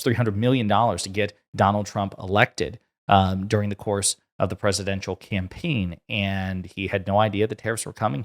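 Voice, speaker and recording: An American man hosting political commentary, talking casually in an emphatic, argumentative way. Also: lowish voice. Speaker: host commentator.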